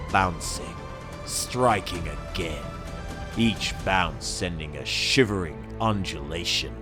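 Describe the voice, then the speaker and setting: Very deep-voiced man, slow dramatic narration. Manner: slow, savouring, theatrical delivery with weighty pauses. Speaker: audiobook-style reader. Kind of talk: dramatic scripted narration in second person. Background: music.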